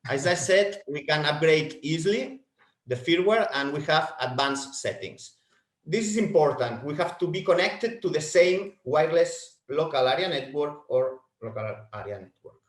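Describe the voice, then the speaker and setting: A man whose first language is Spanish, presenting a product webinar from home in halting, self-correcting English. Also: a little high, reverberant.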